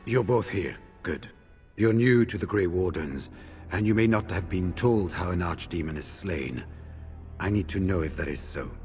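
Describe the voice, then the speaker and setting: Veteran fantasy warrior, middle-aged, low pitch, measured, solemn, resolute, faint music underneath. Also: male voice, lively intonation, a little mellow. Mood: grave, explanatory. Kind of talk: fantasy game voice acting.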